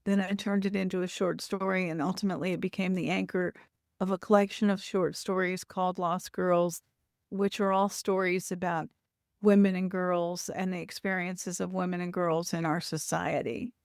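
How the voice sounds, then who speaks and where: A woman in her sixties from Louisville in the United States, giving thoughtful, reflective interview answers and often pausing to rephrase. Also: fairly low pitch, little pitch movement, dry recording, clean studio sound. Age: 60s. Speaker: author, interview guest.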